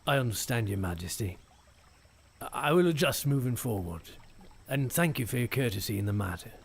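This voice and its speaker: A man's voice: mid-range voice, lively intonation, vocal fry, slightly rough. A man playing a courteous, humble knight in a fantasy audio drama, speaking formally and with deference. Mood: apologetic. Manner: formal, deferential, slightly halting. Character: courteous, contrite knight addressing a princess.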